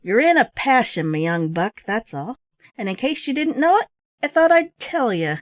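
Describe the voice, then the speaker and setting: A woman voicing a rough but kindly male sailor in his early thirties, full of bluff, hearty seafaring talk in a storybook reading. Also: fairly high pitch, sing-song, moderate pace, dry recording, pitched above the performer's own voice. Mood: advising.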